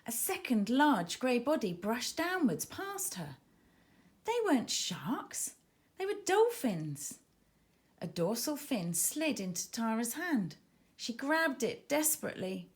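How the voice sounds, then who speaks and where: A woman narrating a children's story expressively, with dramatic emphasis as she reads. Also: fairly high pitch. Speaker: author reading own children's book.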